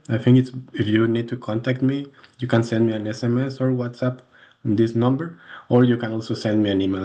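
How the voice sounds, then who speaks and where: A man's voice: on the low side, darkish tone. A man in his thirties from Mexico, a native Spanish speaker with a Mexican accent, introducing himself as a university lecturer in a conversational way, often correcting and repeating himself. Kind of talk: course introduction video.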